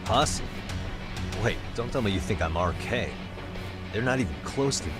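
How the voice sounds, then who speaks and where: An actor playing a wry, level-headed detective in a video game dub, speaking in a dry, conversational way. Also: male voice, lowish voice, lively intonation, moderate pace, close to an everyday voice. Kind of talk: English-dub video game cutscene acting. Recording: music behind the voice.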